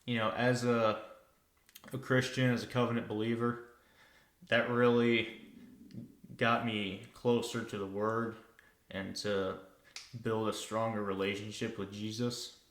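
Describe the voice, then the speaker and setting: Slow-talking young man, echoey room. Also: lowish voice, level tone, a bit bright. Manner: unhurried, hesitant, many filler words. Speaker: podcast co-host. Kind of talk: casual podcast chat.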